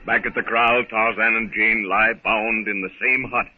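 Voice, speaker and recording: A man's voice: fairly low pitch, steady tone, normal speed. Man narrating on a noisy old phone-like recording. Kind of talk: 1930s radio serial narration. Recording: muffled, noisy 1930s radio recording.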